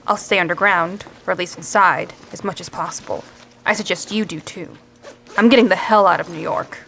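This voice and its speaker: An actress playing a tough, pragmatic survivor in an audio drama, speaking bluntly and firmly in clipped phrases. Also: female voice, on the low side, brisk, creaky, bright, forceful tone, slight background noise, rough recording. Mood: grim, firm.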